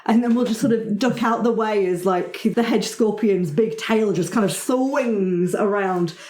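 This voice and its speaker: A woman narrating a tabletop roleplaying game as game master, improvising her descriptions in a halting way. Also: medium pitch, darkish tone. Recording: echoey room sound.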